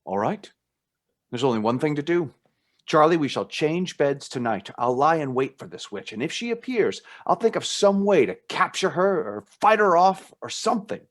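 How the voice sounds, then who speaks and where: A man's voice: on the high side, very animated, normal speed, fairly bright tone. A man playing a bold, determined brother in a radio play, decisive and dramatic in his delivery. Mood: resolute. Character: bold, determined brother plotting against a witch.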